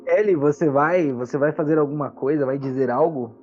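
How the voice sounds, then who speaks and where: A Brazilian game master narrating a tabletop roleplaying game with dramatic, unhurried pauses. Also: mid-range voice, a little hiss or hum. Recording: muffled lo-fi audio with music. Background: music.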